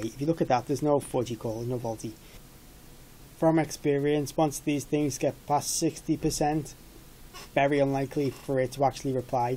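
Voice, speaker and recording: A man's voice: mid-range voice, dark tone. A man in his thirties giving an informal tech product walkthrough in a matter-of-fact, explanatory way. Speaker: product demonstrator.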